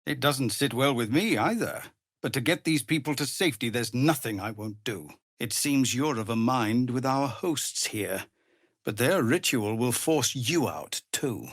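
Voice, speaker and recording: A grave, earnest man as the tired leader of outcast refugees in a fantasy game. His formal delivery is measured and slow, with wide swings of pitch and a husky edge, recorded very dry. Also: animated, dry recording. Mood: grave, weary. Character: weary, dutiful male leader of refugee outcasts. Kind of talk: dramatic video game voice acting.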